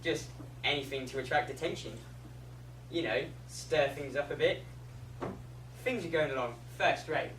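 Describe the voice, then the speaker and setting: A man's voice: on the high side, normal speed, long pauses, lightly acted. A man acting a lonely, scheming ten-year-old schoolboy, earnestly confiding in the listener in a stage drama. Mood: determined, scheming. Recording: echoey room from far off, loud noise.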